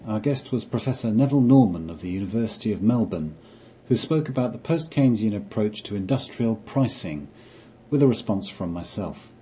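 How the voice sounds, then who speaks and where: A man introducing a recorded seminar as the host, in a formal, measured, scripted way. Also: fairly low pitch, dark tone, slight background noise. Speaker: host and society secretary.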